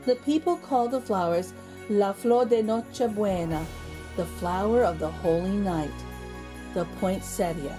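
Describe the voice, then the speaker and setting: A middle-aged woman hosting a children's read-aloud, narrating in an expressive, deliberate, teacherly way. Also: medium pitch. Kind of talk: storybook narration. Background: music.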